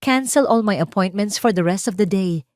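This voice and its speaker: A woman's voice: medium pitch, normal speed, darkish tone, no room sound, studio quality, close to an everyday voice. A woman voicing a commanding, protective male CEO in an audio story, speaking in a clipped, urgent, businesslike way.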